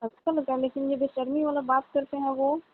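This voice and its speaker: High-voiced woman on a tinny phone line. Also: little pitch movement, fairly quick. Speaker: caller. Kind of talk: casual flirtatious phone chat. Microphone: phone call. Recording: thin narrow-band phone line.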